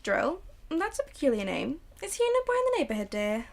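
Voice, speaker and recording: A woman voicing a patient, gently teasing mother in a student radio drama. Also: high-pitched, wildly swooping pitch, fairly quick, creaky, full character voice. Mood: indulgent. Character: amused, patient mother of a young girl.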